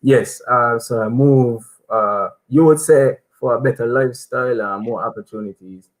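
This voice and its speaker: A young man from Saint Kitts answering interview questions calmly, with many pauses and fillers. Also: mid-range voice, poor audio. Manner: relaxed, many um fillers, soft-spoken. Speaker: footballer, interview guest.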